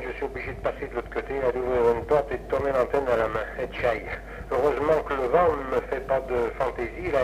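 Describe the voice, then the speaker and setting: A man chatting as an amateur radio operator in a casual, rambling way, hesitating and repeating himself. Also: medium pitch. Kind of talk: casual ham radio contact. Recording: noisy lo-fi 1960s amateur radio recording.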